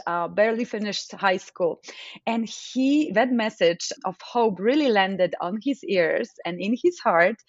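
A woman from Poland with a Polish accent, a coach and podcast guest, telling a story in an energetic, heartfelt way. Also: mid-range voice, dry recording, highs cut off. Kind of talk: storytelling interview answer.

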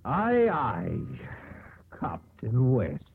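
An actor playing a deferential but anxious ship's first officer in 1950s radio drama style, earnest and emphatic. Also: male voice, mid-range voice, wildly swooping pitch, very slow, creaky, heavily acted. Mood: taken aback. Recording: tinny, hissy 1950s radio broadcast.

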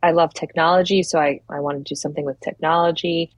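A woman's voice: low-pitched, fairly even intonation, fast, no echo, lo-fi sound. A woman from Philadelphia, a furniture designer, thoughtfully recounting her path in an interview, often restarting her sentences. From Philadelphia, United States. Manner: thoughtful, with frequent restarts and "you know". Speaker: furniture designer, podcast guest. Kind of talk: reflective interview storytelling.

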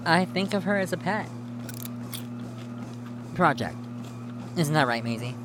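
A cutting boss over noisy hum. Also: female voice, low-pitched, animated, measured pace. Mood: smug, belittling. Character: cutting, domineering senior female TV producer, smugly patronizing. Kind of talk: naturalistic audio drama acting.

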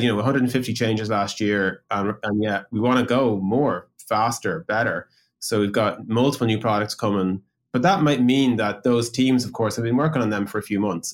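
A man talking as a tech executive and co-host in a conversational business discussion, explaining informally with quoted examples. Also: fairly low pitch.

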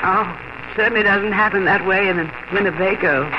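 A woman's voice: medium pitch, moderate pace, noisy background, natural voice. An actress playing a homesick, bravely cheerful middle-aged wife and mother in a hesitant, homely way, in a 1950 radio drama. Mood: earnest, polite. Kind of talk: 1950s radio drama acting. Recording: muffled old radio broadcast, music.

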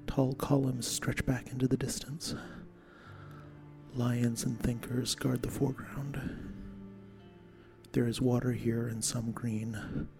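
A man playing a contemplative museum visitor in an audio fiction, narrating in measured, short statements. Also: mid-range voice. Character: contemplative male museum visitor describing grand ruin paintings. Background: music.

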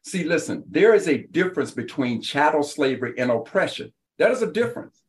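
A man's voice: fairly high pitch, big pitch swings, brisk. A man debating on a panel in an emphatic, insistent, lecturing way, driving home his points. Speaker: panel guest, author and activist.

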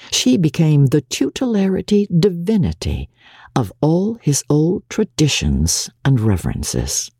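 A woman reading a classic novel aloud as a dramatic audiobook narrator, in a measured, expressive way with deliberate phrasing. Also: low voice, husky, no room sound, studio quality.

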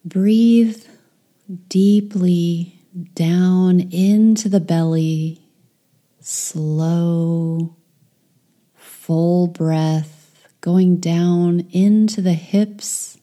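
Slow, soft-spoken woman guiding meditation. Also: fairly low pitch, fairly even intonation. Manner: soft, slow and soothing, with whispered phrases. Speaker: meditation guide. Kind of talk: guided meditation.

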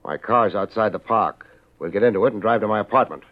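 An American actor playing a wry, laid-back but tough private investigator in a 1950s radio drama. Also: male voice, lowish voice, fast, natural voice. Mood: tough, commanding. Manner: laid-back, wry, hard-boiled delivery. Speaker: lead actor and narrator. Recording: muffled old radio recording, music behind.

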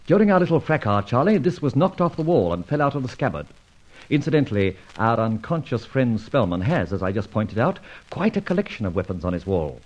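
Suave, husky gentleman adventurer. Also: mid-range voice, very animated, brisk. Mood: suave, teasing. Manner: urbane, wordy, mock-formal, teasing. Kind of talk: old radio serial acting and narration. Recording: dull old radio serial recording.

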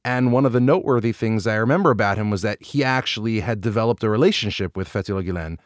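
A man hosting an interview podcast, speaking articulately with enthusiastic, lively emphasis. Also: fairly low pitch, fast, dry recording, studio quality. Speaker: podcast host.

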